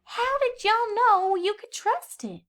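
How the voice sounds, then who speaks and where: A woman's voice: very high-pitched, slow pace, a bit bright, soft background music, voice pushed up, full character voice. A woman voicing a young country filly, eager and earnest, with folksy turns of phrase.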